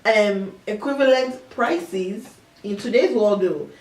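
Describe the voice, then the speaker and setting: A woman's voice: medium pitch, takes it slowly, a little mellow. A woman co-hosting a casual panel chat, playful and teasing as she pushes back. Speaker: co-host. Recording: echoey room, from a distance, faint hiss.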